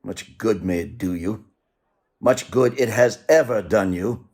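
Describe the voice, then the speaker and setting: An actor playing a cold, bitter old miser in a radio play, curt and scornful. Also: male voice, fairly low pitch, a little slow, a little mellow, breathy edge. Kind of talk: theatrical radio play acting.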